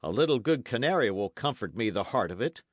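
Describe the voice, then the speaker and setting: A man acts out a forceful knight from an old English adventure tale. He is boastful and commanding, swearing in archaic phrases. The pitch leaps about with great animation, and the sound is dry. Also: big pitch swings, fairly quick, dry recording. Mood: hearty, confiding. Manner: archaic, oath-swearing, declamatory speech.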